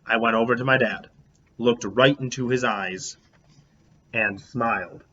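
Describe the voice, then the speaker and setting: A man reading a children's book aloud in an animated, expressive way, as a teacher would. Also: mid-range voice, unhurried. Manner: expressive, acts out dialogue. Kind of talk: animated storybook read-aloud. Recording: dull lo-fi, clear background noise.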